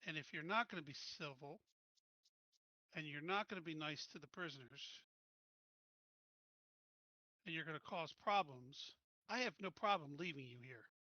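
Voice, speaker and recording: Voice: mid-range voice, normal speed, long pauses, bright, forceful tone, no echo, muffled recording. A player in a tabletop roleplaying game, speaking bluntly and commandingly in character.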